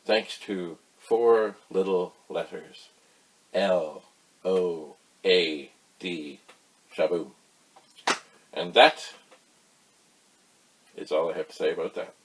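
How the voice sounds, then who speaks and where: A man giving a casual, folksy explainer, rambling through anecdotes with emphatic pauses. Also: low-pitched, background noise. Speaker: host of a retro computer tutorial channel.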